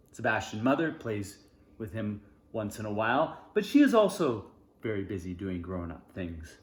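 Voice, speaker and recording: A man's voice: medium pitch, roomy sound. A man reading a children's storybook aloud, expressively, in short phrases with informal asides. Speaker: storybook reader.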